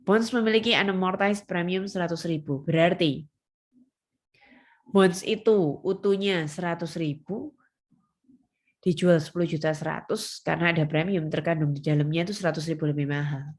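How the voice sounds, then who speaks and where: A woman's voice: lowish voice, moderate pace. A woman lecturing students step by step, stressing key terms and answering her own questions. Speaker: accounting lecturer.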